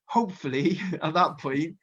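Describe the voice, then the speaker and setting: A man's voice: fairly high pitch, a little slow, a bit bright, breathy edge, muffled recording. A man with a Welsh accent and a slight cold, chatting casually and rambling with plenty of fillers as a festival booker being interviewed. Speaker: festival co-founder and music booker.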